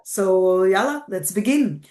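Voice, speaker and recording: A woman hosting a podcast, reading a formal introduction and asking questions in a measured way. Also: mid-range voice, lively intonation, dark tone, roomy sound. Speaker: podcast host.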